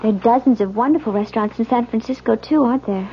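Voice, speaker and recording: An actress playing a wary young American bride in a radio drama, hesitant and guardedly polite. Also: female voice, on the high side, fast, natural voice. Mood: uneasy. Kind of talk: 1970s radio drama acting. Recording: dull radio recording with faint noise.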